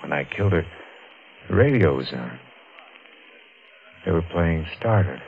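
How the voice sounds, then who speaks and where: An actor playing a haunted, guilt-ridden wife killer in an overwrought, intense 1950s radio thriller style. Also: male voice, low voice, lively intonation, unhurried, gravelly, music in the background, full character voice. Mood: drained resignation. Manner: overwrought, intense dramatic delivery. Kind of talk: 1950s radio thriller acting, first-person narration. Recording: muffled, noisy old radio recording.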